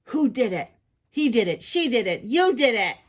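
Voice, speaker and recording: Excitable little storybook prairie dogs, all put on by one woman shout and squabble. The voice jumps high and swings wildly in pitch, full of exclamations. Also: slow pace, full character voice. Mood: panicked. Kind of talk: animated children's storybook reading.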